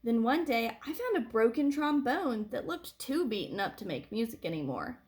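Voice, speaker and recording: High female voice, read-aloud storytelling, teacherly, expressive emphasis, for young children. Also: moderate pace. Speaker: teacher reading a picture book aloud.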